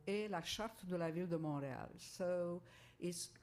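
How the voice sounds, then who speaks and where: A woman's voice: on the low side, normal speed, a little mellow. A woman councillor answering at a council meeting, speaking carefully and searching for words in a language that is not her first. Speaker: city councillor.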